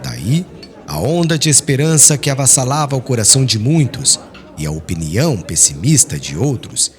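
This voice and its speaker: A man giving a solemn, expressive, dramatic reading of a literary novel as an audiobook narrator. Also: mid-range voice, breathy edge.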